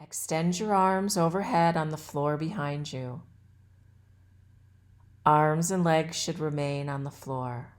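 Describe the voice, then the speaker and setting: A woman guiding a yoga nidra relaxation as an instructor, with soothing, measured and deliberate phrasing. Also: low voice. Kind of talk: guided meditation and relaxation instruction.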